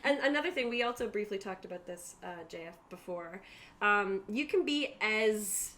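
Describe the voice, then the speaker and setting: A woman's voice: medium pitch, animated, moderate pace, a bit bright. A woman game master explaining tabletop rules to players in an informal way and reading out lists of examples.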